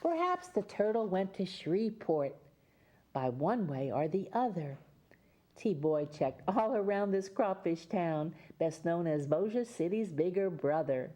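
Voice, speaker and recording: A woman reading a picture book aloud as a Mother Goose storyteller, pausing for chatty explanations to young listeners. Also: on the low side, very animated, dark tone. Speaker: children's storyteller and host.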